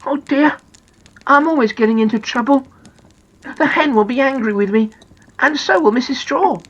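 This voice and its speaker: A woman's voice: sits high, normal speed, husky, dull audio, pitched above the performer's own voice. A woman voicing a small, naive, anxious boy in a children's story, with a plaintive, innocent manner. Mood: plaintive, worried.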